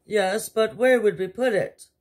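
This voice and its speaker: An earnest, fretful king from a children's storybook, played by a woman. The voice is husky and emphatic, with theatrical, unhurried phrasing. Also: mid-range voice, darkish tone, voice pushed up, natural voice. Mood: curious.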